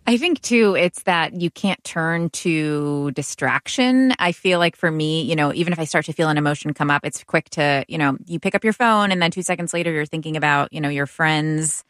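Woman radio host, very low voice, dry close studio sound, conversational. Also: moderate pace, few pauses, fairly bright tone, dry recording. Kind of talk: radio interview.

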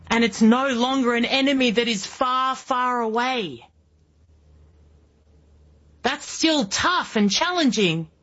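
An Australian woman in her early forties with a fairly high, husky voice. She delivers an emphatic political radio monologue, leaning on rhetorical questions. The sound is slightly dull. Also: a bit bright. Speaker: guest radio host.

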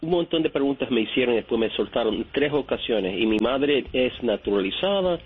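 A man from New York calling in to a radio show, telling his story haltingly with many fillers and restarts. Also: medium pitch, expressive, breathy edge. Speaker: caller. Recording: tinny phone line.

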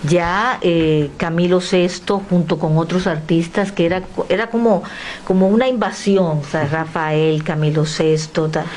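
An older woman from the Dominican Republic tells her stories with a lively, animated delivery. She acts out remembered conversations as she talks, over a faint hiss of background noise. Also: lowish voice, measured pace. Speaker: guest. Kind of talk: animated personal storytelling.

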